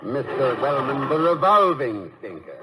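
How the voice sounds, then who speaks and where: A man's voice: mid-range voice, big pitch swings, measured pace. A dryly grumbling, articulate American college president with a wry wit. His intonation moves about a lot, in the manner of old-time radio drama, over a slightly noisy vintage recording. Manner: wry, articulate, somewhat hesitant. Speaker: actor in radio comedy drama.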